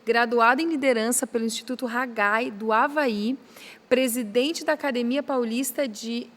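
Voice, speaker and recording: A woman with a gaúcho accent from southern Brazil, hosting a podcast interview in a lively, chatty way. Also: a little high, normal speed. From Rio Grande do Sul, Brazil. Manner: lively, chatty, warmly admiring of the guest. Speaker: podcast host.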